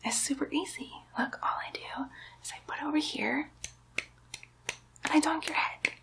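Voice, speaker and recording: A woman voicing a playful, sly female demon doctor in an ASMR medical roleplay, whispering softly in a slow, teasing, lightly menacing way. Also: sits high, pitched above the performer's own voice, exaggerated voice. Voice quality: soft breathy whisper.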